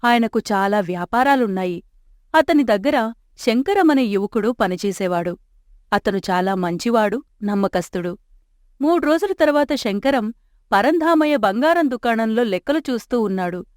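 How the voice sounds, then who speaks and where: A woman's voice: fairly high pitch, lively intonation, moderate pace, no echo. Woman narrating a storybook. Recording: dry close studio mic.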